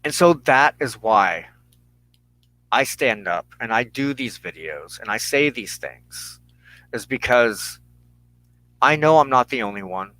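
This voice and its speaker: Man, American accent, earnest monologue, bright tense tone, halting emphatic phrasing, very dry recording. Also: medium pitch, lively intonation, no echo, poor audio. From United States. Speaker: host of a weekly religious broadcast. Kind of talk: earnest personal monologue to camera.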